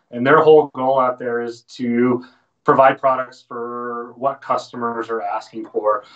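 The sound is a little distant and dull, as from a webcam in a small shop room. An American man in his thirties talks informally and informatively at a medium pitch. He comes across as a presenter explaining things on a casual livestream. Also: fairly bright tone. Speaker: fly fishing shop presenter.

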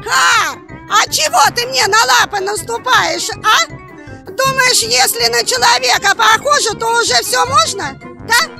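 Grumpy girl crow for a kids puppet show, super high n creaky, slow indignant cawing, loud music behind. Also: exaggerated voice. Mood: angry. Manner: indignant, punctuated with caws. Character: touchy, quarrelsome cawing female crow. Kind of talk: children's puppet show acting.

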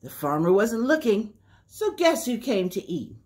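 A middle-aged woman hosting a children's story time, reading aloud in a deliberate, sing-song way. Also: mid-range voice, sing-song, darkish tone. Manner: slow, deliberate, sing-song repetition for young listeners. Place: small indoor room by a window.

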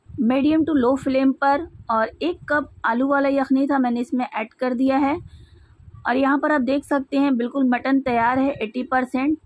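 A woman with a high voice and an Indian accent talks through a recipe. She explains each step methodically, as a home cook giving a tutorial. The sound is dry, with very little room in it. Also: darkish tone, no room sound, lo-fi sound. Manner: instructional, methodical. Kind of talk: step-by-step cooking tutorial narration.

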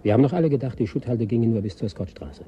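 A man's voice: mid-range voice, very fast, dark tone, dull audio, close to an everyday voice. An actor playing a secretive, eager man who digs for salvage, cagey and confiding, in a German radio drama. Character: secretive, eager male scrap digger.

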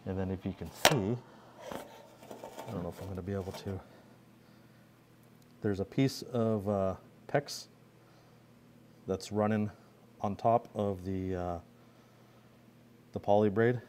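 Low-voiced man touring a workshop build. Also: steady tone, slow pace, long pauses, warm tone, a little hiss or hum. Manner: explaining hands-on while filming himself. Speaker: pressure washing skid builder. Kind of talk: casual walkaround tour.

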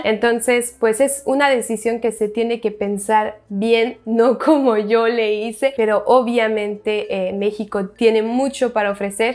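Young woman, higher-pitched chatty voice. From France. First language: French. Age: 20s. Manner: chatty, informal, self-deprecating. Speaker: vlogger answering viewer questions. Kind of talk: casual chat to camera. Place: bedroom, indoors. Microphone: lapel mic.

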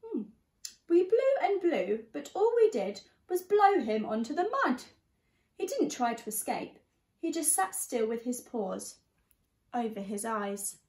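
A young woman reading a picture book aloud to young children in an expressive, teacherly way. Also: a little high, unhurried. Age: young adult. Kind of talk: storybook read-aloud. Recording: echoey room sound.

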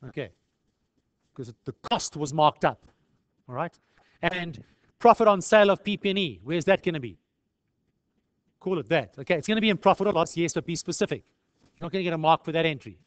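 A man's voice: fairly high pitch, lively intonation, brisk, pauses often, no echo. A South African man lecturing in an informal tutorial style, conversational and peppered with rhetorical questions. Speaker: accounting lecturer.

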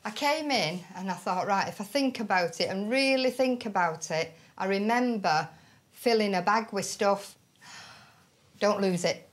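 A woman's voice: mid-range voice, measured pace. Chatty middle-aged woman. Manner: chatty, excitable. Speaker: bride's mother. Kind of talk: casual chat.

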